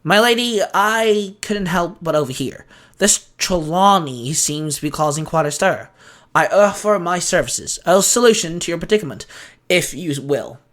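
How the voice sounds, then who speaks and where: A man's voice: high voice, animated, normal speed, a bit bright. A man playing a mysterious scheming wanderer who offers his services in a polite, formal, insinuating manner in an audio drama. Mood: ingratiating, sly. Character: mysterious male wanderer, smooth scheming mercenary for hire.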